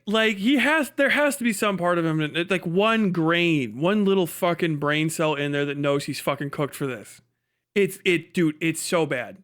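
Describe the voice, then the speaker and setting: Man, high voice, livestream host, casual reaction commentary, heavy swearing, incredulous, mocking. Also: a bit bright.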